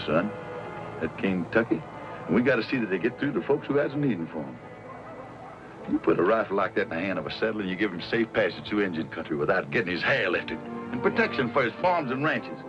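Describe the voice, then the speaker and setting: An actor playing a gruff, stubborn frontier trail man in a western radio drama, with a rustic American dialect and emphatic delivery. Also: lowish voice. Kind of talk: old-time western radio drama acting. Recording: dull old radio recording with music.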